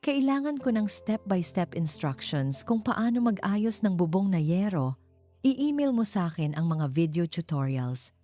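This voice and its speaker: A woman's voice: fairly low pitch, big pitch swings, a little slow, mellow, faint music behind, pitched above the performer's own voice. A Filipino woman voicing a devoted, determined rich man posing as a laborer in a love story, terse and plain-spoken.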